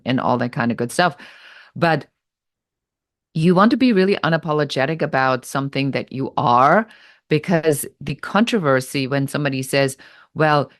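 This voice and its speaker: A woman from Germany with a German accent, answering interview questions in a deliberate, explanatory way. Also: low-pitched, no echo, clean studio sound. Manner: deliberate, explanatory, pace shifts between slow and quick. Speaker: business strategist guest.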